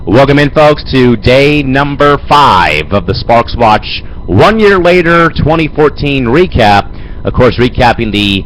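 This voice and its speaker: A young man with a head cold and a raspy voice, hosting an amateur sports show and rambling with lots of fillers. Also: medium pitch, expressive, measured pace, a bit bright, a little hiss or hum, rough recording. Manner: rambling, fills with "man" and "Chris". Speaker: host. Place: home studio.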